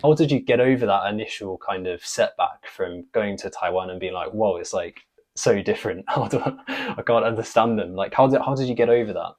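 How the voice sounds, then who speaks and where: A man's voice: on the low side, brisk, darkish tone. A man hosting an interview, asking a guest curious, stacked follow-up questions. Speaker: host, Mandarin teacher.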